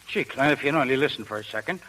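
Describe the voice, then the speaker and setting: An actor playing an earnest, pleading young prizefighter in informal speech, in a 1930s radio drama. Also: male voice, mid-range voice, rapid-fire, sharp tone. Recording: hissy, noisy 1930s radio recording.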